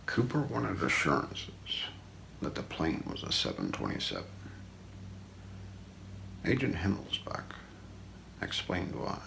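A middle-aged man narrates true crime for ASMR in a very low voice full of heavy creak. He speaks slowly and deliberately, hushed and often close to a whisper, recorded close up in a small room. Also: huge pitch swings, rough recording. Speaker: ASMR role-play narrator. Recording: faint background noise.

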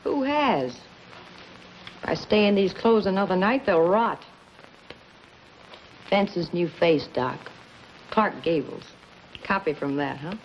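An actress playing a hard, sardonic gangster's moll with terse talk in a 1950s television crime drama. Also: female voice, on the low side, expressive, slow pace, long pauses. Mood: weary, sardonic. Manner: tough, terse. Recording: muffled, hissy 1950s TV soundtrack.

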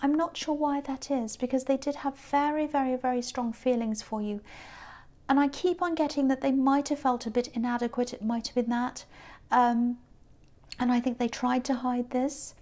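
Woman, high voice, tarot reader, hesitant, lots of ums, slightly croaky, speaking over a tabletop card spread. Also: steady tone, normal speed, a little mellow. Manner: hesitant, many ums and restarts. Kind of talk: unscripted tarot reading.

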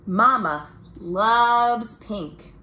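A woman, reading a children's picture book aloud in an expressive, deliberate way as a storytime host. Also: on the high side. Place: small room at home, indoors.

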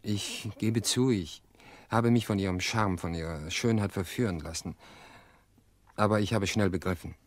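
Radio play: male actor as earnest, weary widower, middle-aged. Deep, dry sound, measured delivery. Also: no room sound, close to an everyday voice. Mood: resigned, reflective. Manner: measured, reflective, hesitant pauses. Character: weary, earnest widower confessing to his sister-in-law. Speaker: actor in an audio drama.

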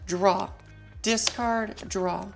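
A woman's voice: medium pitch, fairly even intonation, drawn-out words, creaky, music in the background. A young woman playing a card game at a table, methodically reading card text aloud in casual chat. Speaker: player. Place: indoor studio at a game table.

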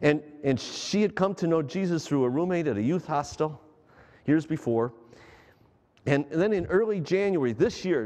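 Animated middle-aged man preaching in a hall. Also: a little high. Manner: animated, gesturing preacher who asks the congregation questions. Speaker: pastor. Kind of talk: sermon. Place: large church stage. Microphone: headset mic.

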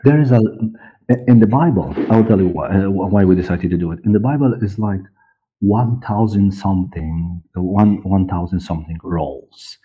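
A man in his forties with a Polish accent, speaking haltingly as an interview guest and searching for words. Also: low-pitched, slightly rough. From Poland. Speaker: interview guest, audiobook director and producer. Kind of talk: conversational interview. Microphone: video call. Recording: muffled, dull video call audio.

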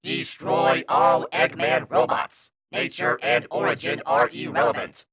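A man's voice: mid-range voice, fairly even intonation, unhurried, a bit bright, pitched below the performer's own voice. A man voicing a cold combat robot with a clipped, mechanical, commanding delivery. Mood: cold, threatening. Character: cold, relentless male-voiced combat robot.

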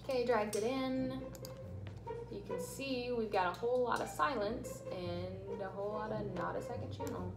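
A woman's voice: mid-range voice, normal speed. Young woman in an echoey room, music behind. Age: young adult. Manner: chatty, informal. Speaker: tutorial presenter. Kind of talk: casual how-to tutorial. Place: small indoor room with bare block walls.

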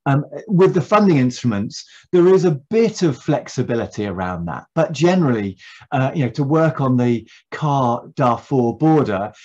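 A man's voice: mid-range voice, darkish tone. Man, middle-aged, panel speaker, hesitant, many fillers, muffled video call audio. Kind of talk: online panel discussion. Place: home study with bookshelves.

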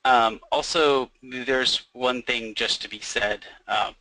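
A young man who works as a software developer, explaining a product casually on a webinar with frequent "um" and "like". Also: mid-range voice, steady tone, slow pace, no echo, low-quality recording. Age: young adult. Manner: fluent, uses fillers like "um" and "like".

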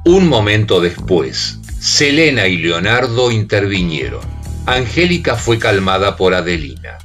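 A man's voice: on the low side, very animated, measured pace, fairly bright tone, faint music behind. A man narrating a radio drama. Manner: dramatic storytelling.

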